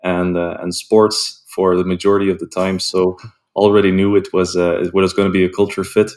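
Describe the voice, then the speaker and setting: A young man from Belgium, a sales rep, calmly answering interview questions with frequent ums and uhs. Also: low voice, brisk. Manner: calm, hesitant with frequent ums. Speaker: guest, sales development rep.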